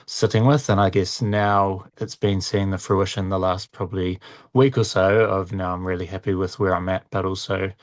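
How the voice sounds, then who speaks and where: A deeper-voiced man musing in a meandering way as co-host in a dry, close podcast setup. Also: flat delivery, moderate pace, no room sound. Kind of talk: casual reflective chat.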